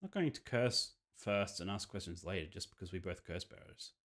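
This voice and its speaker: A man's voice: low voice, lively intonation, fairly quick, fairly bright tone. A man giving casual commentary on a video game playthrough, reacting and musing aloud as he reads. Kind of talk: game playthrough commentary, reading visual novel dialogue aloud.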